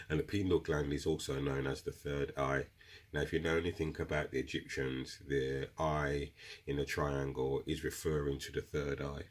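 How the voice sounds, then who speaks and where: A man with a cold and a runny nose, chatting to viewers as he explains a meditation practice in a casual teaching style. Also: a bass voice. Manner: chatty, addresses viewers as guys. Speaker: crystal seller explaining meditation. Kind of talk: casual instructional video talk.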